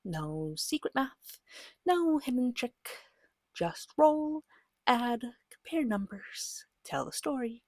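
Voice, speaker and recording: Woman, soft breathy ASMR voice, slipping into whispers, slow and gentle, close dry sound, reassuring game master. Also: fairly high pitch, sing-song, no room sound. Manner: gentle, patient, reassuring, unhurried. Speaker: game master guiding a beginner player. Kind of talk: soft-spoken ASMR roleplay tutorial.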